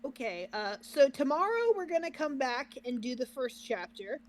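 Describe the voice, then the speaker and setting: A woman's voice: high-pitched, big pitch swings, moderate pace. A woman co-hosting a reaction livestream, chatting casually with the viewers. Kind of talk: casual chat with stream viewers.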